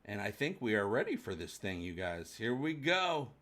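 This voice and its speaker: A man's voice: fairly low pitch, very animated, normal speed, a bit bright. A man hosting a fan livestream, chatting casually and enthusiastically with his viewers.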